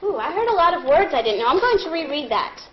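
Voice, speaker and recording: A woman leading a read-aloud lesson as a primary teacher, deliberate and instructive, thinking out loud. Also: high-pitched, very animated. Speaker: primary school teacher reading aloud to a class. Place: classroom. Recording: muffled classroom recording with clear background noise.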